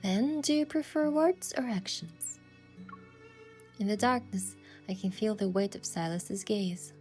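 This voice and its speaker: A woman's voice: medium pitch, unhurried, darkish tone, close to an everyday voice. A woman playing a thoughtful, affectionate young heroine, narrating a romance game story in the first person with a reflective manner. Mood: gentle, reflective. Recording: music behind the voice.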